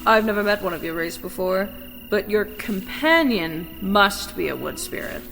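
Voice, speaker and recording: A man playing a starving human outlaw in a fantasy audio drama, wary and desperate, speaking slowly and haltingly. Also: high-pitched, lively intonation, creaky, pushed and bright, in a large hall, soft background music, exaggerated voice. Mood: puzzled. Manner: slow, hesitant, halting.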